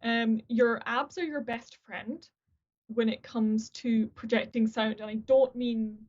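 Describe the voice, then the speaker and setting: A woman with a fairly high voice chats on a video call, explaining vocal technique. She often restarts her sentences and pokes fun at herself. The audio is dull and lo-fi. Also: steady tone, slow, darkish tone. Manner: restarts sentences, chatty and self-deprecating. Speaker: guest, opera singer and voice teacher. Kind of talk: casual video-call conversation.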